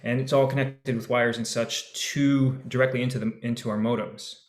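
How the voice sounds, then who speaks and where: Male actor, panel chat over video call, loose and animated, roomy echo. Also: medium pitch, normal speed. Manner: loose and animated, full of fillers and restarts. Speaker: radio play actor. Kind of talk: casual panel discussion. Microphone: home setup over video call.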